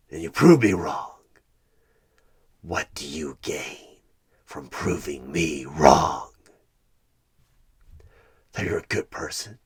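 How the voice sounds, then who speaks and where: Evil demon recruiter for horror asmr, super low raspy growl n whispers, menacing and manipulative. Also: male voice, bright, forceful tone, exaggerated voice. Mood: menacing, goading. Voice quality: husky, gravelly growl breaking into breathy whispers. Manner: menacing, slow, deliberate, manipulative. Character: sinister male demonic tempter offering a corrupt deal. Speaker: job interviewer. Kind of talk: one-sided horror ASMR audio roleplay.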